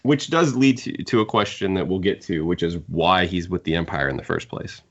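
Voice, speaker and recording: A man talking casually as a podcast panelist, in a halting way that repeats words while thinking aloud. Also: sits low, animated, a bit bright. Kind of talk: casual discussion. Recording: muffled, dull audio.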